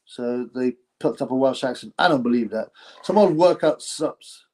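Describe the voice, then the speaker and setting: A man's voice: mid-range voice, normal speed, darkish tone. A man hosting a casual live stream, rambling, reading chat and laughing often between filler words. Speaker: boxing channel host reading chat.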